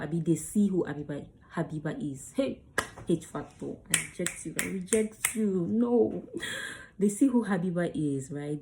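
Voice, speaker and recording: Low mellow-voiced woman coaching actors. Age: adult under 35. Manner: chatty, emphatic, demonstrates lines. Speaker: acting coach. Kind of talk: casual teaching to camera.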